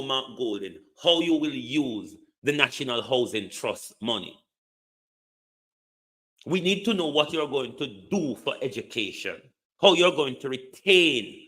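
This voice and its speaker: A man's voice: mid-range voice, pushed and bright. A man giving an emphatic political commentary monologue, full of repeated rhetorical questions. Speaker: online commentator.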